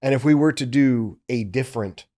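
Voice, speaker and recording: A man's voice: mid-range voice, normal speed, dark tone. A man speaking casually as an audiobook producer, recounting his work in a storytelling way and quoting his own thoughts. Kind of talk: casual behind-the-scenes conversation.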